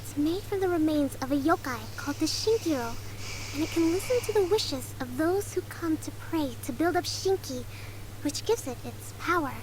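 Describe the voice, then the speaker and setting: An actress voicing a composed young female general who explains things calmly. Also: high-pitched, moderate pace, noisy background. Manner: calm and explanatory. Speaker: general and strategist.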